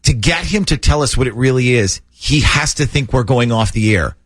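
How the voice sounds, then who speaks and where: A man's voice: mid-range voice, animated, moderate pace, no room sound, studio quality. A man hosting a morning radio show, chatty and jokey as he banters and sets up prank calls.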